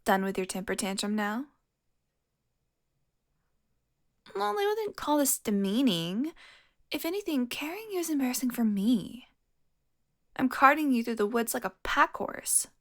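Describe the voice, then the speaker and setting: A long-lived female vampire, acted by a woman, speaks in a lightly high-pitched voice. She is sardonic and foul-mouthed, with a flirtatious, teasing edge. The delivery is an intimate audio roleplay monologue aimed straight at the listener. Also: big pitch swings, moderate pace. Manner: sardonic, teasing, breathy asides.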